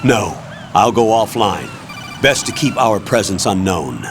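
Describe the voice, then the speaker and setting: A man playing an authoritative military commander in a video game, calm, formal and instructing. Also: on the low side, a little slow, noisy background. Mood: calm, commanding. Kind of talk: dramatic video game voice acting.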